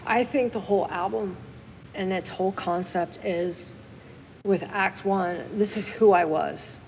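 A woman's voice: medium pitch, measured pace, gravelly, darkish tone, in a large hall, lo-fi sound, slight background noise. A woman co-hosting a casual talk show, discussing music emphatically and repeating phrases for stress. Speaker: podcast co-host. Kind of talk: casual discussion of song lyrics.

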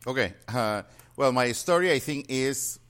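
A man from Peru, a native Spanish speaker, answering interview questions about his work in a halting way with many fillers and restarts. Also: mid-range voice, lively intonation, a bit bright, clean tone. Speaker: interpreting business guest.

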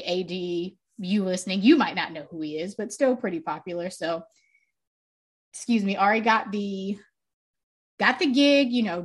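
A young woman co-hosting a music podcast in casual chat. She talks loosely, swears freely, laughs often, and calls her own voice pitchy and a little grating. She speaks close to a desk mic. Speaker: podcast co-host.

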